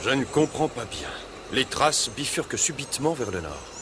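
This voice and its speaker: A man's voice: mid-range voice, sing-song, moderate pace, natural voice. A man voicing a wary, puzzled male tracker in a cartoon adventure dub, over a noisy soundtrack. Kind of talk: French-language cartoon dubbing.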